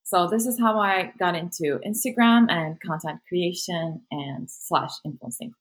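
A woman's voice: fairly low pitch, unhurried. A woman from Turkey, a native Turkish speaker, talking as a guest in a casual interview, hesitant and full of fillers. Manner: hesitant, many fillers, laughs easily. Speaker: guest, content creator.

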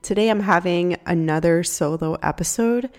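A woman's voice: lowish voice, normal speed, a little mellow. A young woman hosting a solo podcast, congested from a cold, talking in a chatty, candid way with fillers. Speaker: podcast host. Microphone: home podcast microphone.

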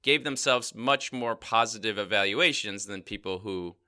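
A man's voice: fairly low pitch, normal speed, smooth. A man co-hosting a casual science podcast, explaining a study with frequent laughs and "right?" asides. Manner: lively explainer, laughs often, says "right?". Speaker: podcast co-host.

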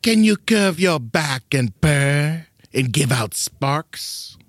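A man voicing a saucy, condescending male animal in an audio storybook, with a drawn-out delivery. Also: on the high side, very animated, dry recording, clean studio sound, exaggerated voice. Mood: haughty.

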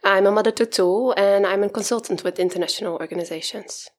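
A woman's voice: medium pitch, quick pace. A woman from the Netherlands introducing herself conversationally as a podcast guest.

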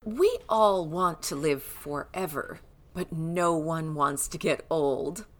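A woman playing a sleek saleswoman in an audio drama, giving a measured, seductive pitch for an anti-ageing treatment. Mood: alluring, assured. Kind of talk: slow, polished promotional pitch in an audio drama.

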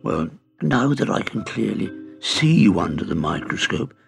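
A man voicing a cheeky, excitable teenage schoolboy who teases his friend. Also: on the low side, normal speed, vocal fry, music in the background, pitched above the performer's own voice, full character voice. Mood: excited. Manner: teasing, excitable. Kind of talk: audiobook character reading.

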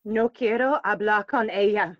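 A woman playing a troubled, reluctant queen in a classical audio play, measured and regal. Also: mid-range voice, dry recording, muffled recording, lightly acted.

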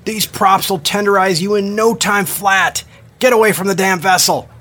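A man voicing a gruff, impatient ferry captain who barks orders. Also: sits high, fairly even intonation, moderate pace, pushed and bright, voice pushed up. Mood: angry. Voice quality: barking, gruff. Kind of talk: audiobook character voice.

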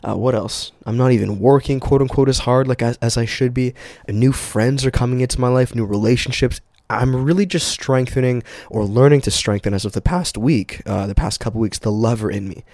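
A man hosting a casual motivational podcast, speaking informally and emphatically, his voice slightly raspy and prone to cracking as he gets over a cold. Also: mid-range voice, a little mellow, no echo, clean studio sound. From Canada. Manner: informal, emphatic, addresses listeners as guys, with profanity. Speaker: podcast host.

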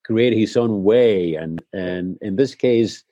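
Low, mellow Spanish-born man, reflective. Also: slow pace, dry recording, highs cut off. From Spain. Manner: reflective storytelling with frequent restarts. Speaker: head of school, guest. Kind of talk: conversational interview.

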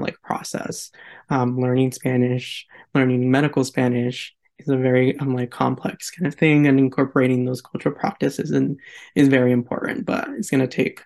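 A man's voice: medium pitch, vocal fry, darkish tone. A young man, a medical student, answering an interview question with lots of hedging fillers. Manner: hedging, many fillers like "kind of, like". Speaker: medical student, program co-founder.

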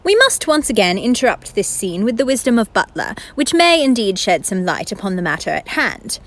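A woman's voice: medium pitch, sing-song, fairly quick, a bit bright. A woman playing a learned narrator in a radio play, reading scripted narration in a formal, lecturing manner. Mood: formal, didactic. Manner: formal, didactic. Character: learned, lecturing female narrator who interrupts the scene.

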